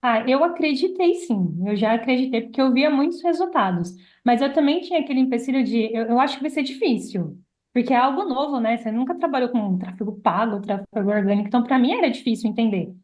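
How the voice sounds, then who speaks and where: A woman's voice: on the high side, expressive, fast talker, darkish tone. A young Brazilian woman telling her own story casually as a podcast guest. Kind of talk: casual personal storytelling in conversation. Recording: slightly dull, lo-fi podcast audio.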